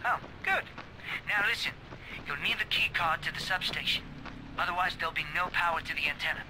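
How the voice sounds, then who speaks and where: A man voicing an earnest, hesitant ally who briefs the hero in a video game, with loud music behind. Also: sits high, fairly quick, background noise, close to an everyday voice. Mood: earnest briefing.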